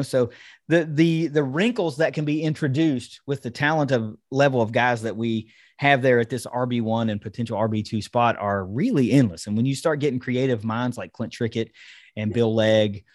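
A man's voice: mid-range voice, a little mellow, no room sound, studio quality. A man hosting a sports podcast, casually breaking down football in an enthusiastic, conversational way. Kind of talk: casual football analysis chat.

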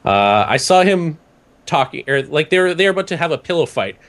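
A young man from Canada, hoarse from a cold, telling a rambling story in casual chat with many restarts. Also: a little high, sing-song, brisk, fairly bright tone. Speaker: podcast guest recounting a trip.

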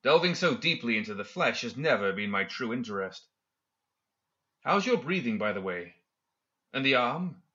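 A man's voice: mid-range voice, big pitch swings, normal speed, long pauses, pushed and bright, highs cut off. A man voicing a smug, always-controlled professor villain in an audiobook, speaking with formal, mocking courtesy. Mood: politely mocking. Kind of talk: audiobook character acting.

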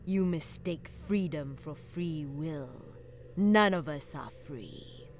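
An actress playing a calm, cryptic occult seer in an audio drama, soft and measured. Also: female voice, deep voice. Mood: coaxing.

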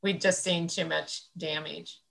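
A woman in her sixties talks in a loose, reflective way and fills her pauses often. Her voice sits fairly low, with a gravelly edge and a bright, forceful tone. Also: steady tone. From central Illinois, United States. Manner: rambling and thoughtful, with many fillers. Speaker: interview guest. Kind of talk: reflective conversational interview.

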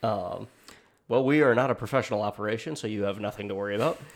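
A man's voice: on the low side, quick pace. A man hosting a casual podcast, chatty and loose, with many ums and offhand jokes. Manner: rambling, filler-heavy, self-deprecating. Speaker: podcast host.

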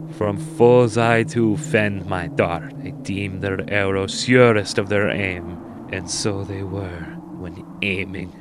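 A man's voice: on the low side, noisy background. A man playing a one-eyed wandering prince who narrates his sorrowful tale in formal, archaic, theatrical language. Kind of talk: dramatic storytelling narration.

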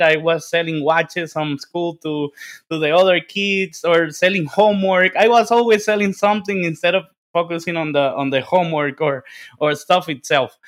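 Dominican man with a high voice, podcast guest, eager, stumbling over his words. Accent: Dominican Spanish. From Dominican Republic. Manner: energetic, repeats words and restarts, casual swearing. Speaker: guest, business development consultant. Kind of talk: casual interview storytelling.

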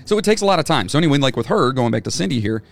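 A man's voice: mid-range voice, very animated, rapid-fire, creaky, soft background music. A man co-hosting a casual podcast chat, a former band singer who rambles with plenty of fillers and restarts. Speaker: podcast co-host.

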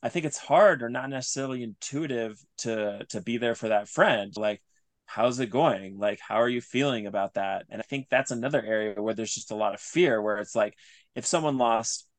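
A man speaking as a writer interviewed on a podcast, thoughtful and searching, piecing his sentences together in halting phrases. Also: medium pitch, no room sound. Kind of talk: reflective interview talk.

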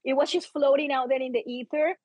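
A woman's voice: high voice, steady tone, brisk, darkish tone, dry recording. A woman with a non-native English accent telling personal stories as an interview guest, emphatic and theatrical, often voicing her own thoughts. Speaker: interview guest, book co-author, teacher and performer. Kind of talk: animated personal storytelling in an interview.

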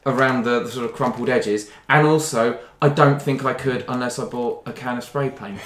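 A man with an average-pitched voice chats casually in an echoey room. He is self-deprecating and talks as a contestant in a relaxed group conversation. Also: moderate pace. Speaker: craft challenge contestant. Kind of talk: casual chat. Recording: roomy, echoey recording.

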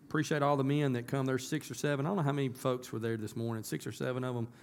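A hoarse man, a pastor talking to his congregation in a casual, folksy way that turns emphatic. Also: medium pitch, steady tone, very fast. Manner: folksy, conversational, rising to emphatic. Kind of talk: casual church announcements and sermon. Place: church sanctuary.

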